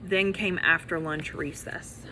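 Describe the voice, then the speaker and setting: A woman reading a children's story aloud as a teacher, in an expressive, animated way that acts out the characters. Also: fairly low pitch, slow pace, background noise.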